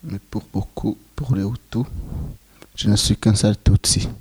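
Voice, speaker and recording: A Rwandan man in his twenties giving solemn, measured testimony as a genocide survivor. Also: fairly low pitch, fairly even intonation, a little mellow, slightly rough, no echo. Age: 20s. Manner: slow, grave, measured phrasing.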